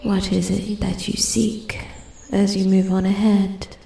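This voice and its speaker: A woman voicing an ancient female forest spirit in soft-spoken ASMR roleplay, breathy and often whispering, formal, intrigued and quietly menacing. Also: medium pitch. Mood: intrigued, hushed. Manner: slow, deliberate, archly formal. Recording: echoey, with steady ambient forest noise. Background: forest ambience, birds and animal sounds.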